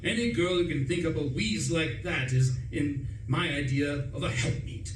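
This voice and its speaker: Guy acting a posh young gent in a radio play, kinda high voice, smug n indignant, far from the mic. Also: moderate pace, lightly acted. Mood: smug, triumphant. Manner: indignant, emphatic, self-satisfied. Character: pompous, excitable upper-class young English gentleman, master of a valet. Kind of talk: stage radio play acting with first-person narration. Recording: roomy, distant stage recording, clear noise.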